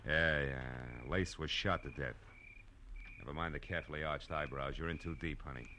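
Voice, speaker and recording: Deep-voiced, hard-boiled American detective. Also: male voice, steady tone, normal speed, bright, forceful tone. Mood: tough, sardonic. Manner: sardonic, clipped tough-guy talk. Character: hard-boiled, wisecracking male private detective, tough and cynical. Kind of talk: 1940s radio detective drama acting and first-person narration. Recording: noisy old radio drama recording.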